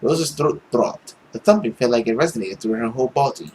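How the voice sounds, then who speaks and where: A man's voice: mid-range voice, animated, brisk, a little mellow, slight background noise, poor audio. A young man reading a visual novel aloud as a let's player, in dramatic narration.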